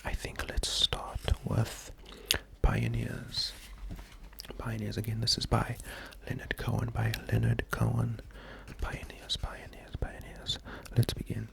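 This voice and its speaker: A man doing a slow, soft-spoken ASMR poetry reading, gentle and calming, half-whispered with a breathy, creaky voice. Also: lowish voice. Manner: gentle, unhurried, calming, often repeating words. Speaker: ASMR host.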